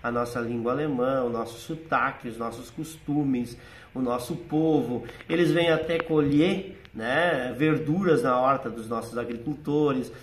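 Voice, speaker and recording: A man's voice: mid-range voice, a bit bright, slight background noise. A middle-aged man with a Rio Grande do Sul accent touched by German settler speech, telling stories reflectively in an interview. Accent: Rio Grande do Sul Portuguese with a German-settler lilt. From southern Brazil. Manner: reflective, nostalgic storytelling with frequent "né". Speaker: guest, theater writer and actor. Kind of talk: casual conversational interview.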